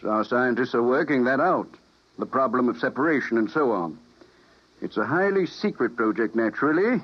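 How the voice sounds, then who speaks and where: A cold, menacing official. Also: male voice, medium pitch, lively intonation, moderate pace. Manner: smooth, condescending. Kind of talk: 1950s radio drama acting. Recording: dull vintage radio broadcast.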